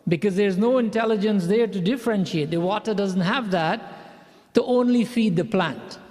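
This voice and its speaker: A man's voice: high-pitched, normal speed, darkish tone, long reverb tail. A man from Guyana leading a satsang, preaching in a conversational way with personal stories and frequent restarts. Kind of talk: sermon.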